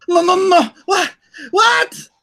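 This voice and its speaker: A man's voice: extremely high pitch, slow, gravelly, pushed and bright, pitched above the performer's own voice, heavily acted. A man voicing a panicky, pleading young character in a hammy comic dramatization, with whiny over-dramatic delivery. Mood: pleading, protesting.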